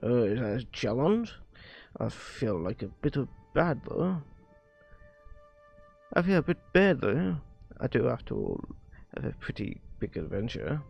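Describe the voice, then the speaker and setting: A man streaming a visual novel, reading its dialogue aloud haltingly and often stumbling and rereading lines. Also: medium pitch, very animated, vocal fry, darkish tone, slightly rough, muffled recording. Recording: music behind the voice. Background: music.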